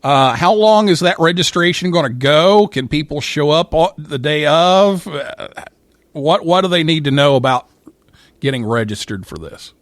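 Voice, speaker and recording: A man's voice: on the high side, lively intonation, normal speed, no room sound. Dry-sounding man hosting a radio talk show. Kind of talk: conversational live radio interview.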